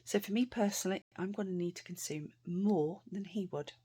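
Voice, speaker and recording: A British woman vlogging casually to camera, chatty and rambling, her voice a bit croaky. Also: medium pitch, fairly quick, mellow. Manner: chatty, rambling with many fillers and restarts.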